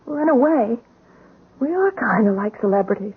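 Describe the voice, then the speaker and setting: A woman's voice: on the high side, big pitch swings, moderate pace, husky, exaggerated voice. An actress playing a frightened, emotional young wife of a policeman in a radio drama, faltering and breathless. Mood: uneasy. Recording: thin, phone-like old radio broadcast.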